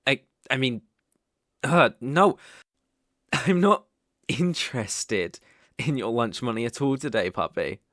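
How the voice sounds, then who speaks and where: Male actor as British college bully, ASMR roleplay, taunting, cocky, unhurried delivery, mid-pitched, dry close sound. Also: expressive, fairly bright tone, no room sound. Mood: smug coaxing. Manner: teasing, condescending, swaggering.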